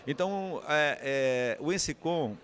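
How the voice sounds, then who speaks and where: A man's voice: on the high side, expressive, slow pace, a bit bright. A man with a Brazilian Portuguese accent giving an unscripted interview answer, explaining and often posing rhetorical questions. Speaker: interviewee, communications academic.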